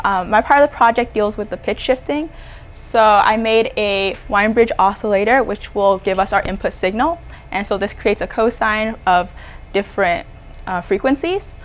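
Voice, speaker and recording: A woman in her twenties explains a technical project informally, as a student would. Her voice sits at a medium pitch. She is recorded indoors on a lapel mic. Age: 20s. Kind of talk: informal technical demo presentation. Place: indoor electronics lab.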